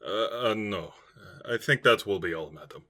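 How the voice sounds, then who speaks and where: A man playing a courteous dwarf with a rough, forceful voice and polite, hesitant formality in an audio drama. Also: fairly low pitch, moderate pace. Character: courteous, eager-to-soothe male dwarf.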